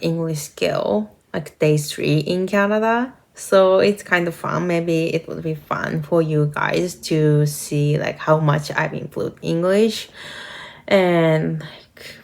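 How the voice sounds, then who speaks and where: Young woman, Japanese accent, slightly low and gravelly, chatty with lots of fillers, clear guitar music behind. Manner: talkative, uses many "like" fillers. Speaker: vlogger and nursing student. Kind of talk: casual chat to camera.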